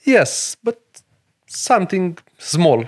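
A middle-aged man from Romania with a Romanian accent, a chef and restaurant owner speaking earnest, halting English in a podcast interview. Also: high voice, big pitch swings. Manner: broken English, earnest and emphatic, many pauses.

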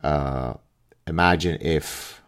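A middle-aged man speaking casually to his viewers in a reflective monologue, pausing and repeating words for emphasis. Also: very deep voice. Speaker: podcast host and author.

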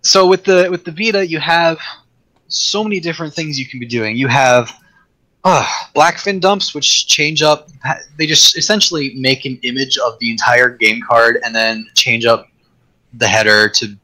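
A man talking casually as a podcast guest, rambling with many restarts, his voice getting raspy. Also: mid-range voice, moderate pace, bright, forceful tone, poor audio.

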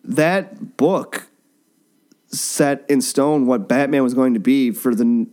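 A man's voice: medium pitch, takes it slowly, mellow, clear, resonant tone. A man hosting a casual, joking chat about comic books, often breaking off and restarting his sentences, recorded in a basement. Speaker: podcast host.

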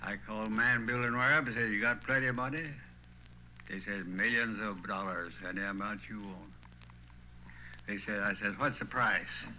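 A man's voice: lowish voice, steady tone, normal speed, pushed and bright, a little hiss or hum, poor audio. An older man with a Tennessee Southern accent tells stories from his life in a folksy way, acting out remembered conversations. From Tennessee, United States. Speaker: retired small-town banker telling his memories. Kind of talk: oral history storytelling.

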